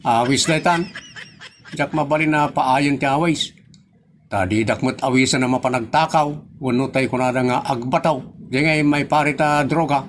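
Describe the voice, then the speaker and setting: A man's voice: mid-range voice, fairly even intonation, normal speed, a little hiss or hum, lightly acted. A man with an Ilocano accent acting as a brash, shameless grown son in a comedy audio drama, cheeky and argumentative. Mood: brazen.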